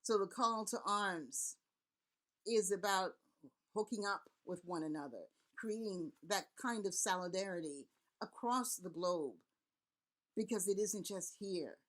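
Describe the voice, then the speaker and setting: A woman's voice: mid-range voice, measured pace, fairly bright tone. Earnest, rallying middle-aged woman from Haiti. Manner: earnest and rallying, with repeated words and lists. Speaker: Vodou priest and community elder. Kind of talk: impassioned spoken address to camera.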